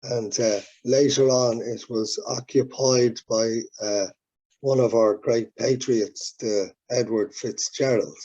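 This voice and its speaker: An Irish man, first language Irish, talks in a loose, reflective way, circling back and restarting his thoughts. His voice is husky and mellow. The sound is dull and lo-fi, like a video call. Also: mid-range voice, fairly even intonation, slow. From Ireland. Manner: rambling, reflective, many restarts. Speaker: musician guest. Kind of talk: casual interview talk.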